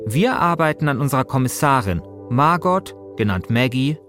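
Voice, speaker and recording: A man with a mid-pitched voice narrates a podcast from a script in colloquial wording. Music plays clearly behind him. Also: animated, normal speed.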